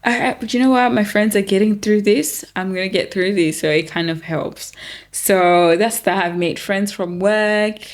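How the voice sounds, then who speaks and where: A woman's voice: mid-range voice, normal speed. A young woman, chatting informally and expressively as a podcast guest, with "like" sprinkled through her sentences. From Zimbabwe. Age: young adult. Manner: informal, expressive, heavy use of "like". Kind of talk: casual conversational chat.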